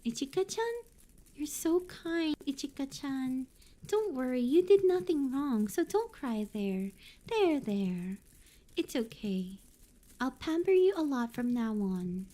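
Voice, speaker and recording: Streamer voicing a clingy yandere schoolgirl: soft, sugary, doting, pitched slightly high. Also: big pitch swings, takes it slowly, heavily acted.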